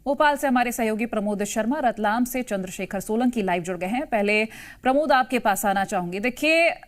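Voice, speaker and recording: An Indian woman anchors live TV news in a fairly high voice. She presents and hands over to reporters as a studio news anchor would. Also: animated, brisk.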